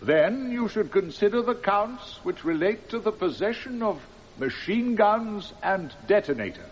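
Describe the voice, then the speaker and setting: Solemn courtroom judge in a radio play, high pitched for a man, muffled hissy recording. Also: lively intonation. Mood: solemn, measured. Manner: measured, formal, authoritative. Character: solemn male judge summing up to a jury. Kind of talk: radio drama courtroom speech.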